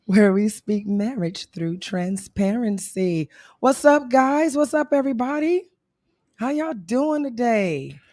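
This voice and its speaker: A woman in her thirties with a hoarse voice, co-hosting a casual livestream talk and laughing and teasing as she goes. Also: a little high, expressive, slow pace, darkish tone, dry recording, studio quality. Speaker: co-host.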